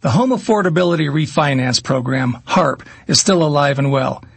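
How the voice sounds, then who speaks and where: A man's voice: a little high, lively intonation, normal speed, creaky, fairly bright tone, breathy edge, no room sound. A man reading his own company's radio commercial in an earnest, direct way. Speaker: business owner in his own ad. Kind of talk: scripted radio ad read.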